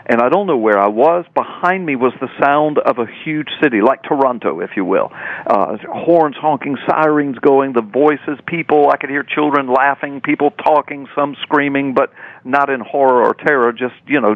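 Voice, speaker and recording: An older man, a preacher and radio guest, telling a story earnestly and emphatically, repeating words when he gets excited. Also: medium pitch, no echo. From US Gulf Coast. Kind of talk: animated storytelling on a phone-in radio interview. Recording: tinny phone line, dry.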